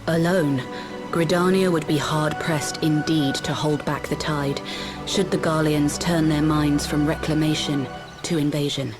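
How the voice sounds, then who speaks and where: An actress playing a calm, dignified woman elder of a fantasy nation, speaking in a formal, measured way in a game cutscene. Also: deep voice, over music. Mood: grave.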